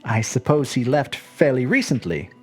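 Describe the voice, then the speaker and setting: A woman's voice: extremely low pitch, very animated, moderate pace, a little mellow, slightly rough, soft background music, heavily acted. A woman voicing a polite, puzzled seventeen-year-old boy with an earnest, hesitant way of musing aloud. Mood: shy, polite.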